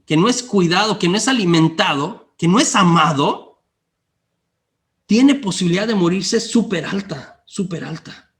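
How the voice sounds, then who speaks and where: A middle-aged man from Guanajuato with a Mexican accent gives a lecture. His voice sits high for a man. He repeats his points and often stops to check that the listeners follow. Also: fairly bright tone. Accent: Mexican, mixed regional. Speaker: lecturer on theological anthropology. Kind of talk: classroom lecture.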